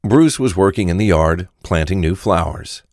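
Very deep-voiced male narrator. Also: lively intonation, normal speed, a little mellow, no echo, clean studio sound. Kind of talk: scripted audio drama narration.

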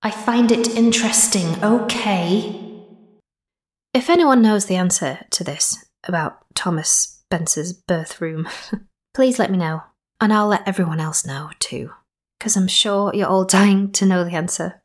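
A young woman talks in a rambling, playful way. Her voice sits at a middle pitch. She drifts into asides as she hosts. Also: a little slow. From Skipton, North Yorkshire. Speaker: podcast host. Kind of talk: chatty spoken introduction and interview.

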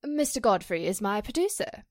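A woman playing a distressed, pleading stage actress in a 1940s-style radio drama, halting and emotional. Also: medium pitch, sing-song, no room sound, studio quality, lightly acted. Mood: tense, halting. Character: distressed stage actress accused of a publicity stunt, pleading, wounded.

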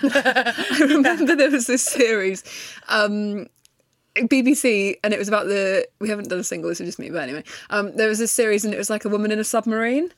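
A woman co-hosting a podcast in casual chat, telling animated stories. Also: mid-range voice, brisk, dry recording, clean studio sound. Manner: chatty, animated storyteller. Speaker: podcast co-host. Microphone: headphones, studio mic.

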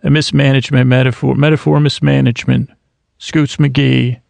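A man hosting a podcast, rambling through a self-deprecating comic monologue that keeps trailing off and restarting, with quick imagined dialogues. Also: medium pitch, level tone, gravelly, a little mellow, dry recording. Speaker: podcast host.

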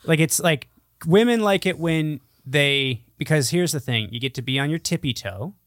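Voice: medium pitch, lively intonation, moderate pace, no echo. Casual podcast co-host with a dry, close sound. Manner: bantering, swears casually. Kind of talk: casual comedic chat.

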